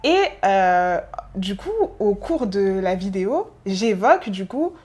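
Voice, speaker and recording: A woman's voice: medium pitch, animated, slow, darkish tone. A woman hosting a casual chat with a guest, easygoing and talkative. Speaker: host.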